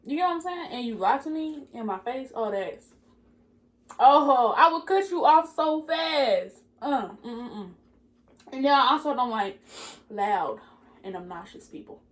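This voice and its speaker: A woman's voice: high voice, animated, a little slow. Young woman chatting candidly over food. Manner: candid, laughs often, talks with her mouth full. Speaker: co-host. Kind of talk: casual chat while eating.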